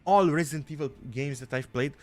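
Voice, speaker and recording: A man's voice: a little high, a little fast, darkish tone, faint music behind. A young man from Romania, chatting casually as a gaming streamer, rambling, swearing and saying "bro" a lot.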